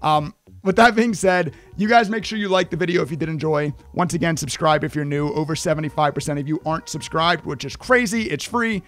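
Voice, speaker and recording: A man's voice: on the high side, brisk. A man in his thirties giving a screen-share trading lesson, talking emphatically while losing his voice. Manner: energetic, emphatic, repeats "right?". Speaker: trading educator.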